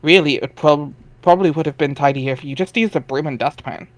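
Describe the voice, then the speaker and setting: Higher-voiced man narrating, dry close sound. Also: quick pace, no echo. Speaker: narrator of a dramatic reading. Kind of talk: scripted second-person webcomic narration.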